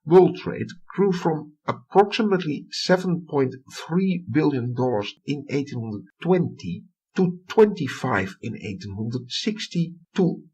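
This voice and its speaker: A middle-aged man from the Netherlands with a Dutch accent, giving a recorded history lecture and reading a prepared text with deliberate emphasis. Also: mid-range voice, mellow, slightly rough, muffled recording.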